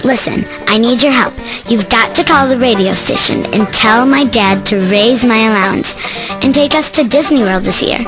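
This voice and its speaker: A woman's voice: fairly high pitch, normal speed, low-quality recording. A female guest talking casually on air to radio listeners. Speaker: radio host's daughter. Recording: music bed behind radio voice. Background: music.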